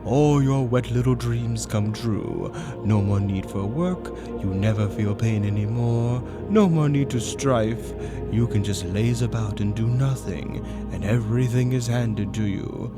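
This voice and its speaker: A man voicing a grandiose avian demon tempter in a dark fantasy roleplay monologue, lecturing persuasively with theatrical, drawn-out emphasis over gothic music. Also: medium pitch, lightly acted. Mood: smooth, persuasive. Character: male avian demon arch-tempter, grandiose philosophical seducer. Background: loud dark gothic music.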